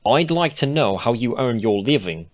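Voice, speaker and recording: A man's voice: mid-range voice, expressive, normal speed, voice pushed up, natural voice. A man voicing an earnest, nosy female cartoon pony who presses and pleads emphatically in a storybook reading. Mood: firm.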